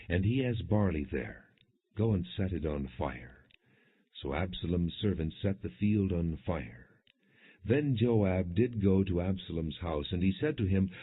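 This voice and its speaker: A man with a very low voice reads scripture in a measured, formal way, as an audiobook narrator would. His voice is rather husky and rough-edged, and the recording is narrow and muffled, much like a phone line. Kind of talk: scripted scripture narration, non-dramatized reading.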